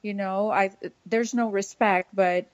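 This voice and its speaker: A woman's voice: mid-range voice, steady tone, a little slow, clean tone, no echo. Woman chatting on a dry lo-fi podcast. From Brazil. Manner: chatty, rambling, full of fillers and laughs. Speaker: podcast co-host. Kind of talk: casual political chat.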